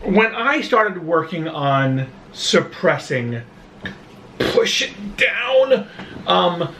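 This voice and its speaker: Brooklyn-accented man, casual vlog chat, slow and halting, a bit distant from the mic. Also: sits high, very animated, bright, forceful tone, reverberant. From Brooklyn, New York. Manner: halting, with frequent restarts and slow stretches. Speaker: vlogger. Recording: voice a bit off-mic, faint room noise.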